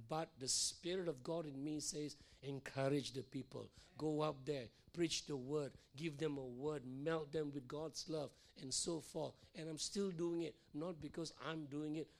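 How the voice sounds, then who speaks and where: Male pastor, middle-aged, hoarse and gravelly, repetitive teaching sermon in church. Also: on the high side, a little mellow. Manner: repeats phrases, frequent "all right?" and "okay?" checks. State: hoarse, losing his voice.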